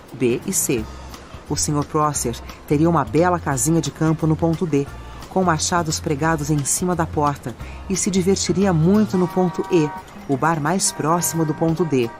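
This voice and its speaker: A woman's voice: deep voice, moderate pace, a little mellow. A woman with a Brazilian accent reading scripted audiobook narration. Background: music and added sound effects.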